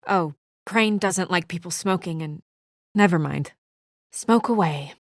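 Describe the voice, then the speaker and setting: An actress playing a brisk, guarded young female office assistant in a noir video game drama, speaking in a crisp, businesslike, dry manner. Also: on the low side, dry recording. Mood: dry, sardonic.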